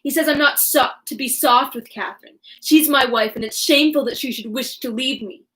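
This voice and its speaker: A woman's voice: high-pitched, fairly quick, a bit bright, low-quality recording. Woman doing a sulky spoiled youth, petulant, whiny. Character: sulky spoiled sickly young man.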